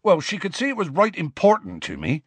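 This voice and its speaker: A man's voice: high voice, wildly swooping pitch, a little fast, breathy edge, no echo, voice pushed up, full character voice. A man voicing a huge, tender-hearted half-giant man in an audiobook, anxious and earnest, speaking a rustic dialect. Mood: sheepish.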